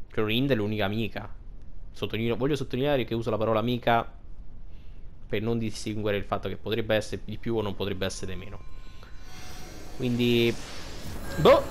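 A man's voice: lowish voice, fairly even intonation, moderate pace, long pauses. A man giving casual, unscripted gameplay commentary, rambling and thinking aloud in slang. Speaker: gaming video host.

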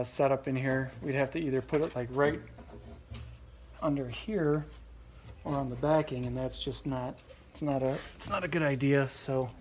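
A man in his thirties giving a relaxed, rambling walkaround of a boat project in a large workshop garage, filmed on a handheld phone, with many fillers and restarts. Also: mid-range voice, a little hiss or hum. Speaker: channel host. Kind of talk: casual walkaround vlog. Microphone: handheld phone camera close up.

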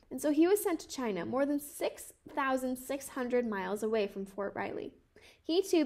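Young woman w a high voice reading a kids picture book out loud, careful and measured. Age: 20s. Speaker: storybook reader. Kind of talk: read-aloud of a children's picture book.

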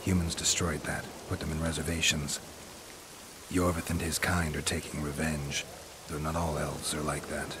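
A world-weary monster hunter in a video game. The voice is extremely deep and gruff, delivered in a terse deadpan. Rain and ambient noise run clearly underneath. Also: male voice, moderate pace. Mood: dry, brooding. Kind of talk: video game dramatic dialogue.

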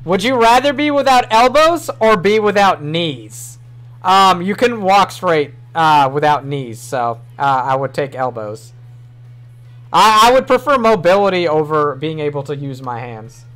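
A man's voice: a little high, lively intonation, a little slow, fairly bright tone. A young man with a New York accent, chatting casually on a livestream from a small room, rambling and swearing offhandedly. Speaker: streamer.

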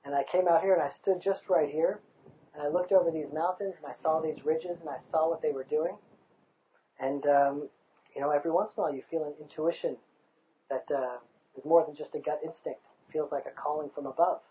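A man's voice: fairly high pitch, steady tone, a little fast, muffled recording, slight background noise. A man in his thirties from the United States, speaking passionately in an outdoor interview on a hilltop overlook, recorded with a lapel mic. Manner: passionate, emphatic, rhetorical.

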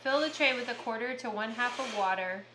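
High, bright woman teasing in an echoey kitchen. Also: brisk, noisy background. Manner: playful, teasing. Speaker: homestead vlogger. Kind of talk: casual chat. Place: home kitchen, indoors.

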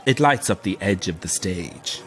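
An actor playing a camp, wry, middle-aged Irish pantomime dame who relishes telling grisly ghost stories in an audio drama. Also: male voice, deep voice, lively intonation, unhurried, fairly bright tone. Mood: wry, breezy. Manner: theatrical, wry, relishing the tale.

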